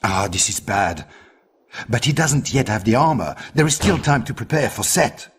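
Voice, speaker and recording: An actor playing an earnest, worried French archaeologist ally in a video game, with a French accent and a grave, deliberate delivery. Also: male voice, mid-range voice, expressive, noisy background, close to an everyday voice. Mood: relieved, worried. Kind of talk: video game cutscene acting. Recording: music behind dialogue.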